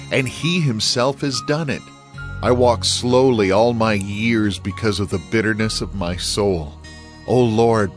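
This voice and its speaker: An actor playing an ailing, devout king in a dramatized scripture reading, speaking earnestly and theatrically. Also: mid-range voice. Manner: earnest, poetic, theatrical delivery.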